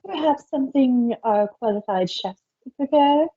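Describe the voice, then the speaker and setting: Someone putting on a very high-pitched, prim pony maid. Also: animated, normal speed, mellow, highs cut off. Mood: polite, prim. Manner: formal, deferential. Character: polite, faintly disapproving female pony palace maid. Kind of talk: character voice in a fanfiction reading.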